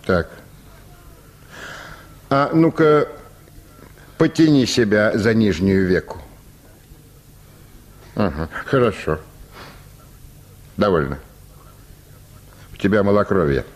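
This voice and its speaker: Slow-spoken, brusque provincial medical orderly. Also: male voice, on the low side, animated. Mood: matter-of-fact, instructing. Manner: curt commands, thoughtful hemming pauses. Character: brusque, self-important male provincial medical orderly examining patients. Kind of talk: Russian literary radio play acting.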